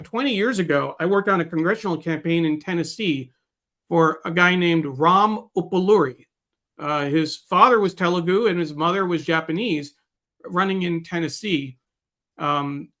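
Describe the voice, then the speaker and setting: Male co-host, fairly high voice, halting and hesitant, casual live discussion. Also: fairly bright tone.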